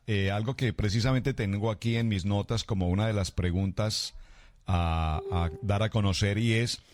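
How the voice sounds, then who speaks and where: A man's voice: on the low side, dry recording. Male pastor hosting a radio show. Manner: formal, effusive greetings, affirming fillers. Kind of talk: formal radio interview hosting.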